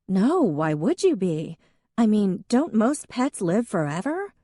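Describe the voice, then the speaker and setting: A woman reading an audiobook, voicing a sarcastic young woman with a dry, deadpan wit. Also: medium pitch, takes it slowly, no room sound, pitched above the performer's own voice.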